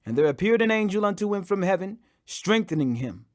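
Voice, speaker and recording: Male scripture narrator, notably high voice, solemn, emphatic dramatic delivery. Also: very animated, a little fast. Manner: solemn, emphatic, declamatory in quoted speech. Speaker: audiobook reader.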